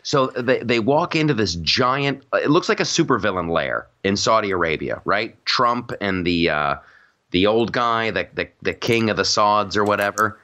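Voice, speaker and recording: A man's voice: on the low side, animated, moderate pace, sharp tone, dull audio. A man hosting a podcast, chatting casually and jokily, rambling with frequent restarts. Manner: jokey, rambling, many restarts. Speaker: podcast host. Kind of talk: casual political chat.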